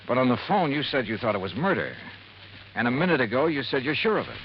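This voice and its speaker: An actor playing a probing, friendly insurance investigator in 1950s radio drama style, pressing people with clipped questions. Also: male voice, medium pitch, sing-song, fast, close to an everyday voice. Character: brisk, probing male insurance investigator, hard-boiled but friendly. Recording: dull, noisy vintage radio recording.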